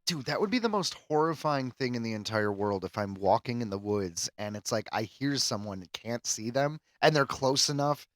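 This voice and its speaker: A man co-hosting a podcast, chatting casually in a rambling, halting way. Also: medium pitch, expressive, a bit bright, dry recording. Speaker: podcast co-host. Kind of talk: casual chat.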